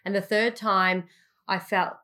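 A woman's voice: medium pitch, steady tone, measured pace, sharp tone. A woman co-hosting a podcast, upbeat and asking questions in a casual chat. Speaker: podcast co-host.

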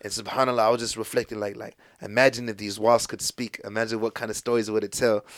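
A man raised in the United States, a podcast guest telling stories in a relaxed, casual way, full of fillers and restarts. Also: lowish voice, quick pace, no room sound. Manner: laid-back storytelling with lots of fillers and restarts.

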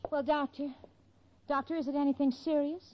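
An actress playing a sensible, wry, protective young roommate in a 1940s radio sitcom. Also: female voice, high-pitched, a little fast, natural voice. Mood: worried. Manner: expressive, wisecracking. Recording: dull, noisy vintage 1940s radio.

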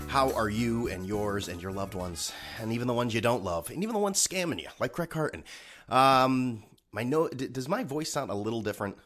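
A man's voice: medium pitch, animated, brisk, fairly bright tone, soft background music. A man hosting a solo podcast, talking in a sarcastic, rambling way with a slightly stuffed-up nose. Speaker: podcast host.

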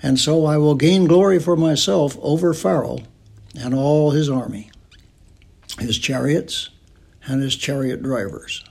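Male scripture reader, slow and solemn, with a husky, creaky voice. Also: fairly high pitch, darkish tone.

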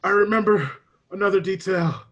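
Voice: medium pitch, normal speed, fairly bright tone, muffled recording, natural voice. An actor playing a wary, streetwise small-time male informant in a comic old-time radio play, slangy and tough-talking. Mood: dying, strained.

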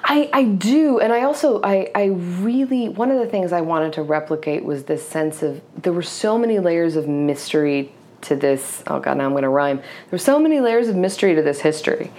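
A woman's voice: fairly low pitch, lively intonation, moderate pace, mellow. A woman novelist, not a native English speaker, answering interview questions hesitantly, with many restarts, fillers and a reflective search for words. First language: Serbo-Croatian. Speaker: novelist guest. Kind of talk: interview conversation.

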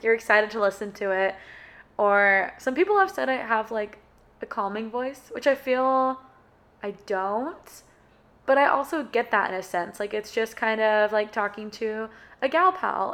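A woman's voice: medium pitch, fairly bright tone. Chatty young woman with a sore throat. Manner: chatty and friendly, with many "uh" and "like" fillers. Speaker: podcast host. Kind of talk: casual solo podcast chat.

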